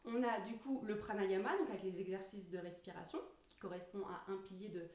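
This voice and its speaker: A woman's voice: medium pitch, steady tone, brisk, a little mellow. A woman giving an informal explanatory yoga lesson, searching for her words as she goes. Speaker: yoga teacher. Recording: roomy echo, distant microphone.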